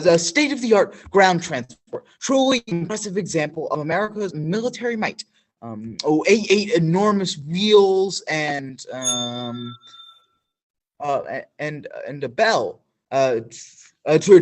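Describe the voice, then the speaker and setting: A man playing a flustered news reporter in a stage radio play, stammering through formal newsreader phrasing. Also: high-pitched.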